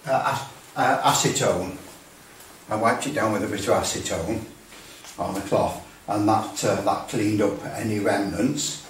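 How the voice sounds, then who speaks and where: A man giving an informal how-to narration in a halting, unscripted way, recorded at a distance in an indoor workshop. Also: fairly low pitch. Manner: halting, unscripted delivery with many hesitations. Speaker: hobbyist presenter demonstrating restoration. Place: hard-surfaced workshop indoors. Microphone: distant camera microphone. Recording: very echoey, distant, noisy room sound.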